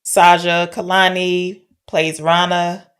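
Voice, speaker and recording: Low-voiced woman, casual podcast co-host. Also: little pitch movement, slow, a bit bright, smooth. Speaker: podcast co-host discussing films. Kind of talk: casual film discussion.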